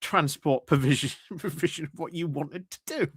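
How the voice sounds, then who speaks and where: A man's voice: a little high, normal speed, breathy edge, no echo, studio quality. A man doing live reaction commentary over council footage, sarcastic and laughing at what he hears.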